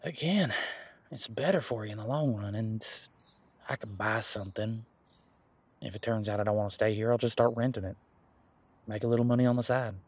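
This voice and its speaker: A man's voice: fairly low pitch, a little fast, pauses often. A man playing an easygoing, protective werewolf in an audio roleplay, talking in an informal, frank and chatty way. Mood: earnest, reassuring. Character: easygoing, protective male werewolf, imposing but responsible. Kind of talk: casual conversational audio roleplay monologue.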